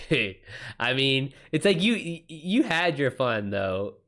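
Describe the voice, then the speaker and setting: A man's voice: mid-range voice, sing-song, takes it slowly, a bit bright. A man hosting a livestream in a casual, informal way, chatting with viewers in slangy, sweary language. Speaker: livestream host, author taking writing questions. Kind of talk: casual livestream chat, reading and answering messages.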